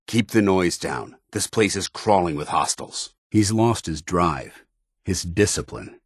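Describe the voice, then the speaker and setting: A disciplined military paladin from a video game, with a very low, heavy voice. He is stern and principled, speaking in formal, clipped commands. The sound is close and dry, with a slightly rough edge to the tone. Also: no echo. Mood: urgent, commanding, then somber. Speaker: video game companion character.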